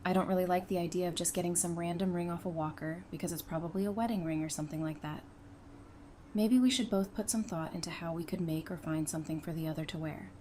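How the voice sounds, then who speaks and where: A woman's voice: lowish voice, fairly even intonation, quick pace, mellow, slight background noise, lightly acted. A woman playing an affectionate, playful survivor in an audio drama, casual and teasing in her delivery.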